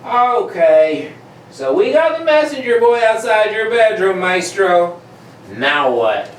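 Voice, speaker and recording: A brash, slangy police sergeant acted by a man growls his way through a comic radio play. He speaks slowly in a high male voice with huge pitch swings, recorded in a very echoey room. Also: big pitch swings, heavily acted. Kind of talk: amateur comedic radio play reading. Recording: very echoey room, distant mic, faint noise.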